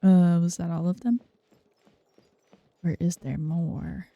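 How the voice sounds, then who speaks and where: A woman's voice: lowish voice, fairly even intonation, takes it slowly, creaky, warm tone, no echo. A woman giving casual game playthrough commentary, muttering offhand reactions to herself. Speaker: game streamer. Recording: game music behind the voice.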